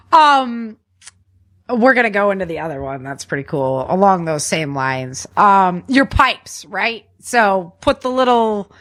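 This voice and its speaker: A woman's voice: medium pitch, slow. Casual, slangy woman podcast host. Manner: informal, slangy, expressive. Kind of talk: casual chat about preparedness.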